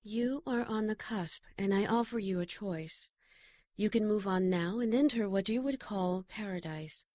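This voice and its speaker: A woman playing a solemn, calm guardian angel with a measured delivery in an audio drama. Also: medium pitch, no echo, studio quality, close to an everyday voice.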